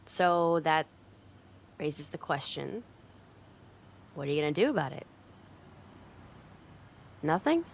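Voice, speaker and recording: A woman's voice: deep voice, sing-song, slow, vocal fry, pauses often, slight background noise, exaggerated voice. A woman playing a tough but secretly caring delinquent girl in an ASMR roleplay, talking bluntly and teasingly to the listener. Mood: gruff concern. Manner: blunt, teasing, streetwise, with hesitant softening.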